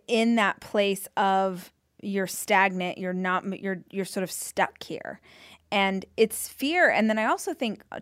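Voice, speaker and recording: A woman's voice: mid-range voice, dry recording, studio quality. Enthusiastic woman podcast host. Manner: enthusiastic, reflective interviewer. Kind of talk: conversational interview.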